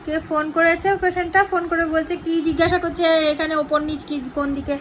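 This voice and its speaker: A woman's voice: very high voice, steady tone, quick pace. A middle-aged woman with a West Bengal accent chatting casually for a home vlog, rambling and rephrasing as she goes. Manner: rambling, repeats and rephrases herself. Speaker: family vlogger. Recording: clearly noisy home recording.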